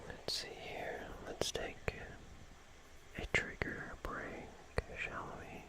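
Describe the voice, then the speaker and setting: Very slow, whispering ASMR host. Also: low-quality recording. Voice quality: soft, breathy unvoiced whisper. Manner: slow, gently repeats phrases.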